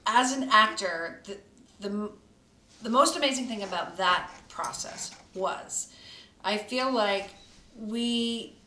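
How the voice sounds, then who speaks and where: A woman voice actor talks through her process in a thoughtful, word-searching way. Her tone is bright and sharp. She sits away from the microphone in a roomy, echoing space with faint background noise. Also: medium pitch, takes it slowly. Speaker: voice actor in the radio play. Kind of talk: reflective behind-the-scenes chat. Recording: roomy echo, distant mic, faint noise.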